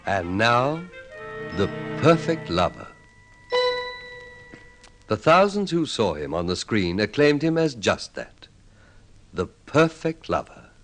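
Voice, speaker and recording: A man's voice: fairly low pitch, big pitch swings, slow, long pauses. A man narrating a vintage radio drama as its announcer, in a theatrical, portentous manner. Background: loud music.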